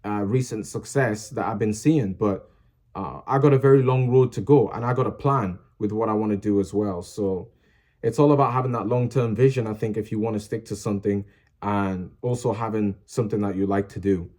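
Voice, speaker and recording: A young man speaks in a lowish, mellow voice to his channel's viewers. His talk rambles, full of "you know" and restarts, but stays earnest and encouraging throughout. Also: fairly quick. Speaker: small music channel creator. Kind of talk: casual motivational vlog talk.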